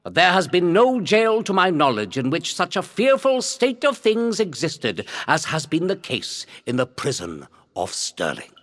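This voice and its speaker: A man's voice: fairly high pitch, wildly swooping pitch, a little slow, hardly any pauses, fairly bright tone, pitched above the performer's own voice, full character voice. A man voicing a grave, indignant nineteenth-century judge in a weighty, declamatory manner. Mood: grave indignation.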